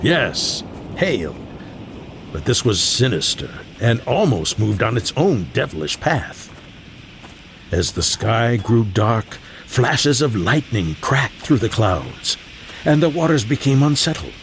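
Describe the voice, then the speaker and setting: Proud, teasing old grandfather, creaky and animated fantasy storyteller over background ambience. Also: medium pitch, takes it slowly. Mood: grave, dramatic. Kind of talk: fantasy audio drama acting. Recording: clear background ambience noise.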